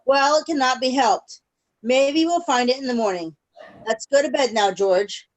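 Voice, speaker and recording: High-pitched woman reading a children's storybook. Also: low-quality recording. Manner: pauses to check in with young listeners. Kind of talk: read-aloud storytime with questions to children. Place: online video call. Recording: video call audio.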